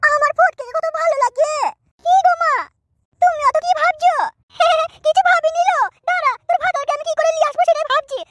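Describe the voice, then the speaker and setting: Shrill, fast village woman. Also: steady tone, no echo. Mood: scheming. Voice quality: shrill, squeaky. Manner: fast, pleading, chattering. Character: scheming, lustful middle-aged village woman. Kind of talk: adult cartoon comedy acting. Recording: loud background noise.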